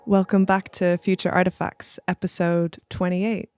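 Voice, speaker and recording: A woman's voice: on the low side, flat delivery, moderate pace, a little mellow. A woman hosting a podcast in a conversational introductory style. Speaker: podcast host.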